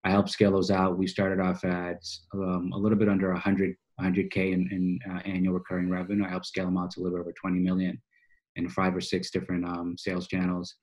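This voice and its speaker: A man's voice: low voice, monotone, quick pace, a little mellow, low-quality recording. A man in his early thirties from Boston, telling his story in casual, slangy speech as a podcast guest. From United States, Boston. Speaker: podcast guest, financial coach. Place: small office, indoors, on a video call.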